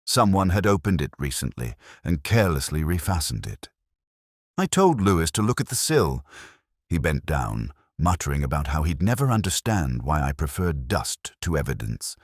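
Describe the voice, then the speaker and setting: A man reading a scripted first-person detective story in a measured, reflective, literary manner. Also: a bass voice, sing-song, no room sound, studio quality. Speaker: narrator.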